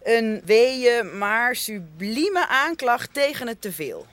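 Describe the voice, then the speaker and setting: Pompous culture critic, high and animated. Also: female voice, slow, no room sound, heavily acted. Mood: precious, indignant. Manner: pompous, precious, precise. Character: sensitive, highbrow female dance and theatre critic. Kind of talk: radio comedy acting.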